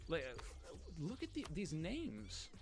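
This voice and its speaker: A man giving casual, rambling, profane game commentary, breaking off and restarting his thoughts, with excitable exclamations and muttered asides. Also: on the high side, slow, soft background music. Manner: rambling, self-interrupting, profane. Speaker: Let's Play gamer and commentator. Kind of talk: casual game commentary with dramatic readings of game text.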